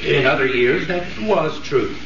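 Higher-voiced American radio pitchman. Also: unhurried, noisy background. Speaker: advertising heating expert. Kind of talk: 1930s scripted radio sales talk. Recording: muffled, distant old radio recording.

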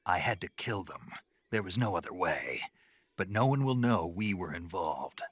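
Very deep, gruff, terse soldier. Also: male voice, animated, moderate pace, fairly bright tone, no echo, exaggerated voice. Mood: businesslike. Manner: terse, clipped, matter-of-fact. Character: stoic American male special forces soldier, terse and tough. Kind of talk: dramatic video game voice acting.

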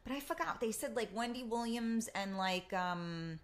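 A woman's voice: a little high, animated, normal speed. A woman hosting a podcast, chatting casually with her guest, full of compliments and rambling asides. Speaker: podcast host. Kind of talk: casual interview chat.

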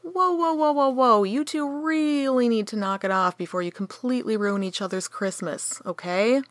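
A woman's voice: on the high side, moderate pace, no echo, natural voice. A woman playing an earnest, easily exasperated holiday spirit in a comedic radio play, with theatrical delivery. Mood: flustered.

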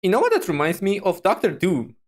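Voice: mid-range voice, a little fast, soft background music. Casual, rambling streamer reacting. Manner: animated, giggly, rambling.

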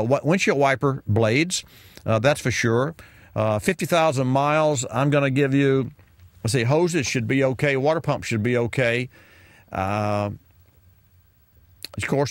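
A middle-aged man hosts a call-in car show from a studio, speaking into a boom mic with headphones on. His delivery is loose and conversational, full of restarts and asides. The sound is dry and close, with no room around it. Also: dry recording. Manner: chatty, rambling, many fillers and restarts. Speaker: radio car show host. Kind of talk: call-in radio advice. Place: radio studio with colorful sign backdrop. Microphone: studio mic on boom arm, wearing headphones.

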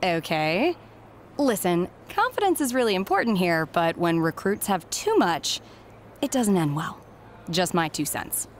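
A woman playing a harried middle-aged recruiter in a video game cutscene, brisk, distracted and businesslike. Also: on the low side, sing-song, a little hiss or hum. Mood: cool, firm. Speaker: head recruiter.

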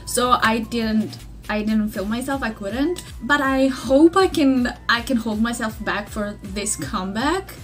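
A woman's voice: on the high side, expressive, measured pace, soft background music. A young woman from Greece with a Greek accent, chatting to a webcam in her bedroom in English, her second language, rambling with many fillers and restarts. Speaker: vlogger. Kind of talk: casual chat to camera. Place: small bedroom indoors. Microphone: laptop webcam mic at desk distance.

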